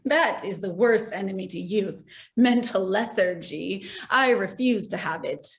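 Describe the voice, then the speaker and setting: An actress playing a self-possessed, wealthy society widow, poised and matter-of-fact in a stage comedy over a video call. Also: female voice, mid-range voice, expressive, a little slow. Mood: assured.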